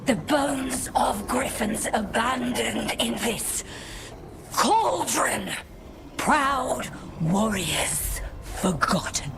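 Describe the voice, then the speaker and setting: A woman voicing a menacing, fanatical monster in a fantasy video game, rasping and solemn as she makes ominous pronouncements. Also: a little high, animated, very slow, heavy background noise, exaggerated voice. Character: Gloomhowler, menacing fanatical monster, self-styled liberator of griffins. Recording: loud music under the voice.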